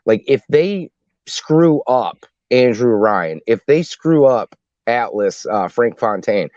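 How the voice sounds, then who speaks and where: A man's voice: mid-range voice, expressive, takes it slowly, darkish tone, no echo. Man chatting casually, dry muffled audio. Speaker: podcast co-host. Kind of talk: casual panel chat about games.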